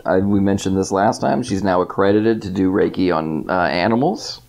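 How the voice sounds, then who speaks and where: A man co-hosting a casual comedy podcast, tossing in dry deadpan asides between bursts of laughter. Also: sits low, moderate pace, vocal fry. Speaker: podcast co-host.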